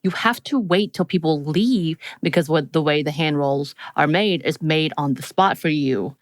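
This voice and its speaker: A woman's voice: low-pitched, normal speed, dry recording, clean studio sound. A woman co-hosting a podcast, telling a story in casual conversation and acting out bits of what was said. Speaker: podcast co-host.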